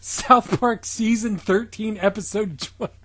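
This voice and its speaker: A man's voice: high-pitched, slow, a bit bright, husky. A man co-hosting a comedy podcast, narrating a bad story with mock seriousness and frequent laughter. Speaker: podcast co-host and narrator of the reading. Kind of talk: casual comedic reading and banter.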